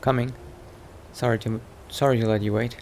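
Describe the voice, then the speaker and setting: Halting, muttering male vampire adventurer, played by a man. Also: mid-range voice, flat delivery, a little mellow, slight background noise, lightly acted. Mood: musing, polite. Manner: halting, muttering, repeats himself. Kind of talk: improvised video game roleplay.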